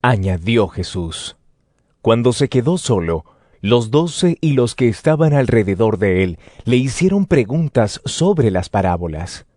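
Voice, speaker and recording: A man's voice: mid-range voice, very animated, a little slow, mellow, no echo. A man reading scripted narration for a dramatized audio Bible. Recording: noisy outdoor ambience effects behind. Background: outdoor sound effects.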